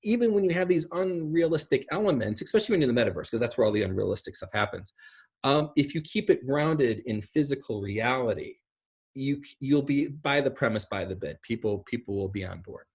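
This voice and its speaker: A middle-aged man with a slightly rough, husky voice answers questions on a video call from home. He explains things informally, rambling from one example to the next. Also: medium pitch, lively intonation, a little fast. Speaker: guest speaker. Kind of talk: casual explanatory Q&A talk. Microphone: video call microphone.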